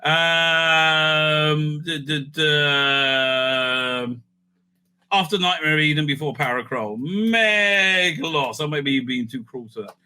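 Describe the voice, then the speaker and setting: A man with a very bright, forceful voice pitched a little high. He talks casually as a video host, thinking out loud as he ranks things. He often breaks off and restarts his sentences in a loose, rambling way. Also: slow pace, clear, resonant tone. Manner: rambling, thinking aloud, self-interrupting. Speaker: video host ranking TV stories. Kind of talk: casual, off-the-cuff ranking commentary.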